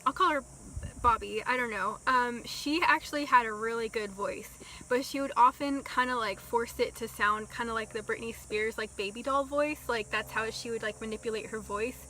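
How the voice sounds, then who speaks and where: A young woman telling a casual storytime vlog, talking slowly and softly with many ums and likes. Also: on the high side. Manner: slow, soft-spoken with many ums and likes. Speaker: vlogger.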